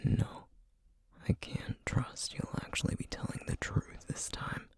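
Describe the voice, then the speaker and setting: A man playing a menacing, distrustful feral essence eater in an ASMR roleplay, speaking in a breathy, husky near-whisper with slow, threatening deliberation. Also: mid-range voice, warm tone, no echo, heavily acted. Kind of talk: ASMR roleplay audio drama.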